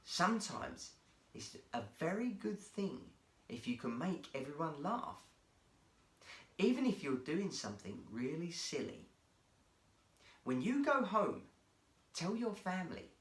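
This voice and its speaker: A man voicing a kindly seal trainer at a zoo show in a children's story, with a hearty, deliberate announcer's delivery. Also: on the high side, very animated, echoey room. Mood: reassuring.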